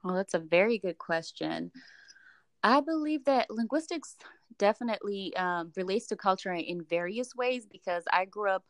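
A woman's voice: mid-range voice, animated, normal speed, no room sound. A young woman from East Africa whose first language is Luganda, a doctoral student speaking as a podcast guest in an upbeat, casual way, with frequent fillers and laughs. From East Africa (Uganda, Rwanda). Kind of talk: casual interview chat.

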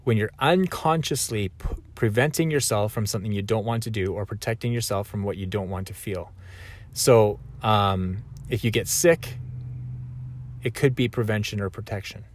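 A man's voice: lowish voice, moderate pace. A man talking reflectively in a conversational, self-correcting way. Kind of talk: reflective solo talk.

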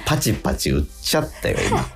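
Male radio host: very deep voice with animated intonation, earnest casual talk, lots of agreeing interjections, faint music underneath. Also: fast. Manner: earnest, self-questioning, with frequent affirming interjections. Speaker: radio show host and stage actor.

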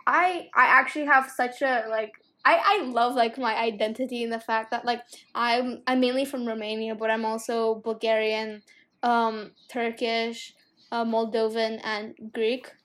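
Hesitant higher-voiced young woman. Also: fairly even intonation, a little slow, fairly bright tone. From Singapore. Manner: hesitant, many fillers and restarts. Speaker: podcast co-host. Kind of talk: casual discussion.

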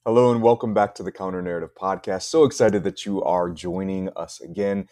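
A middle-aged American man hosting a casual podcast interview, talking in a halting way with repeated words. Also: deep voice, fairly even intonation, warm tone, no echo. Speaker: podcast host.

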